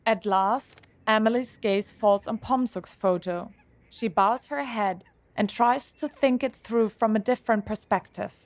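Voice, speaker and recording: A woman's voice: on the high side, measured pace, no echo. A woman reading scripted narration as the narrator of an audio drama.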